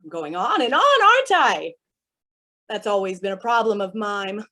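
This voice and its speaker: A woman's voice: medium pitch, big pitch swings, normal speed, sharp tone. A woman playing a chatty, theatrically polite and menacing villainess in a staged play reading. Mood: manic chatter. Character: manic, chatty villainess with smeared mime makeup.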